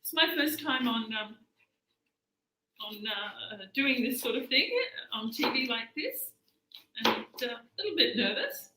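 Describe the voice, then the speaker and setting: A woman's voice: a little high, expressive, a little slow, sharp tone, a little hiss or hum. An Australian woman in her late fifties, giving a live cooking demonstration in a chatty, hesitant way with many fillers. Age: 50s. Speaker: cooking instructor. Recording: echoey room.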